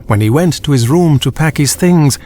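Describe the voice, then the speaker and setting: A man's voice: on the high side, animated, normal speed, dark tone, slight background noise. A man reading a children's storybook as a scripted audiobook narrator.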